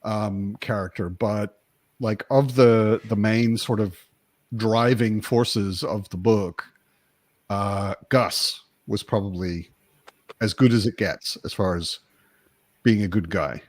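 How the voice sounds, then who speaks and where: A man's voice: lowish voice, slow, no echo. A man hosting a casual book discussion.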